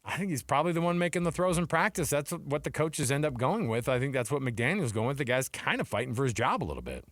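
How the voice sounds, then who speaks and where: Dry studio sound, guy chatting sports on the radio, thinks out loud, mid pitched. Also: sing-song, fast talker, few pauses, no room sound. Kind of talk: casual sports radio talk.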